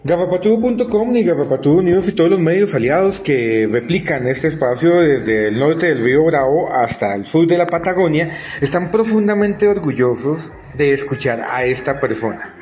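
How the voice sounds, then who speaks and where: A man's voice: fairly high pitch, expressive, moderate pace, hardly any pauses, darkish tone, soft background music. A man hosting an interview in an emphatic, admiring style. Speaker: interviewer, show host. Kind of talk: effusive show presentation and interviewing.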